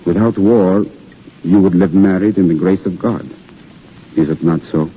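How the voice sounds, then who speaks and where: Deep-voiced, weary priest, acted by a man. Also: close to an everyday voice. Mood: gentle. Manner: halting, solemn, tender. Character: weary, gentle Italian army priest, fatherly and earnest. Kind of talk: 1930s-40s radio drama acting. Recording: muffled, noisy old radio broadcast.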